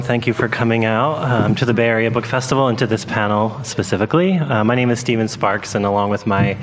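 A man introducing a panel to an audience as moderator, speaking informally and a little haltingly. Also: fairly low pitch. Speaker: panel moderator, bookshop owner. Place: festival panel venue with audience. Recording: noisy live event room.

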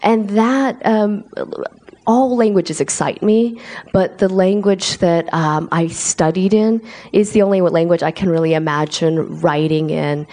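A woman author answering interview questions in a thoughtful, searching way, with frequent pauses and restarts. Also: on the low side, steady tone, warm tone. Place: lives in South Korea.

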